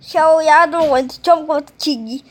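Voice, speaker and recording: A woman talking casually in a halting, rambling way, with mumbled phrases, as in an improvised home video. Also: sits high, fairly even intonation.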